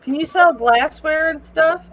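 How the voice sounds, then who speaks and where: A woman's voice: sits high, a little slow, fairly bright tone. A woman chatting casually as a guest, teasing and encouraging the others. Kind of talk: casual chat. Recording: dull lo-fi audio.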